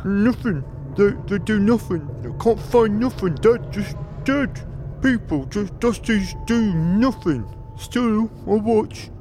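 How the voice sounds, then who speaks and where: A man's voice: high voice, lively intonation, slow, dark tone, a little hiss or hum, voice pushed up, low-quality recording. A man voicing a fearful spy disguised as a zombie in an audio drama, stammering in broken, simple sentences. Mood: wary, halting. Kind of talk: audio drama character acting.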